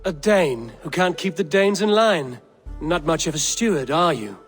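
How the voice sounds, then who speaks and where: An actor playing a blunt, resolute Viking raider leader in a dramatic video game scene. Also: male voice, on the high side, expressive, moderate pace, faint music behind, natural voice. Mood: scornful. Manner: direct, challenging, resolute.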